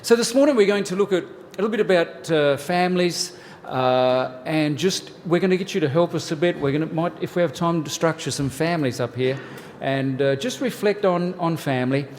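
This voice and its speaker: A man in his sixties with an Australian accent, from New South Wales. He gives a church workshop talk in a relaxed, joking way, with many "uh" fillers. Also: fairly high pitch, brisk, hardly any pauses, long reverb tail, slight background noise. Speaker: family ministries pastor. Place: church hall.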